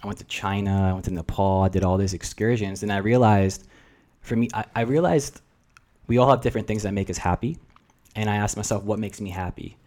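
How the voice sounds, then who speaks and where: A man's voice: lowish voice, fairly quick, a little mellow. A man in his twenties from New Jersey, whose first language is Russian, talking in a rambling, reflective way as a podcast guest. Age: 20s. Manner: reflective, rambling, filler-heavy. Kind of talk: casual chat.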